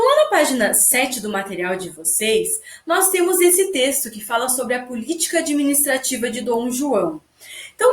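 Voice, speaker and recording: A woman teacher giving a video history lesson in a chatty, explaining way, checking in with her students. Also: high-pitched. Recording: echoey room.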